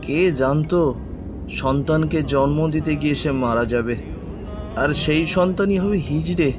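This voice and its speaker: A man playing a pitying, gossiping villager in an animated story, deferential and lamenting. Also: a little high, unhurried, over music, close to an everyday voice. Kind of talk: acted dialogue in animated story.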